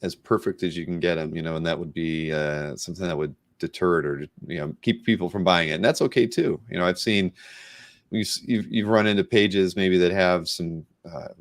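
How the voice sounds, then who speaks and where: A man's voice: low voice, a little fast, no room sound. A man hosting a casual interview in an easygoing, agreeable, conversational way. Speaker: interview host.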